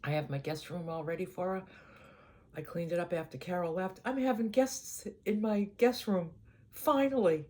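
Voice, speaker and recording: An older woman with a Boston accent, chatting casually to her channel in an easygoing, rambling way, often letting her voice trail off quietly. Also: fairly low pitch, normal speed. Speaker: vlogger. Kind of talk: casual chat to camera.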